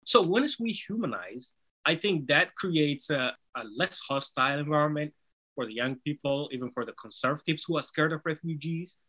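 Man, bright forceful voice, earnest, persuasive, interview guest. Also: fairly high pitch, expressive, normal speed, slightly rough. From Somalia. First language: Somali. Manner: earnest, persuasive, rhetorical "right?" tags. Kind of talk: conversational interview answer.

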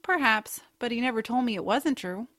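A kindly, whimsical aunt acted by a woman in a family audio drama. Her voice is fairly high, and she speaks in a gentle, earnest storytelling way. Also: moderate pace, close to an everyday voice. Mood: wistful, sincere. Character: kindly, whimsical aunt, bookish home cook.

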